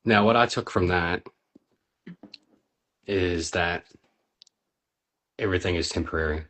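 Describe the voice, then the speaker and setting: A man with a deep voice hosts a poetry reading. He is casual and appreciative, setting out his phrases in a measured way with small pauses. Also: a bit bright.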